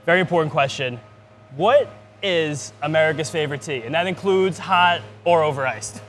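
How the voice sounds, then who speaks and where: A man's voice: fairly high pitch, moderate pace, a bit bright, soft background music. A man hosting a podcast interview on location, putting questions in an upbeat conversational style. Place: spring training ballpark. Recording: faint background ambience noise.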